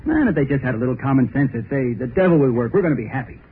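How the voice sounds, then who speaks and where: An actor playing a carefree, playful young groom who jokes boisterously, in 1930s radio acting style. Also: male voice, medium pitch, animated, very fast, natural voice. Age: young adult. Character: carefree joking young groom, happy-go-lucky. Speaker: young bridegroom. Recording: tinny old radio recording with faint hiss.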